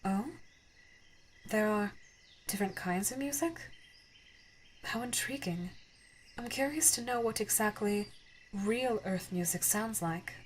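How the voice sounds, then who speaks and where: A woman's voice: mid-range voice, slight background noise, natural voice. A woman voicing a regal female alien gem in an ASMR audio roleplay, formal and curious, tender and easily awed. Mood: composed, intrigued. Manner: formal, wondering, halting when moved.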